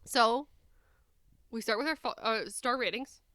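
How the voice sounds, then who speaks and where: A woman's voice: on the high side, a little slow, sharp tone, no room sound. A woman with an American Midwestern accent co-hosting a podcast, chatting casually in a candid, gossipy, self-deprecating way. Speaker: podcast co-host. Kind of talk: casual chat.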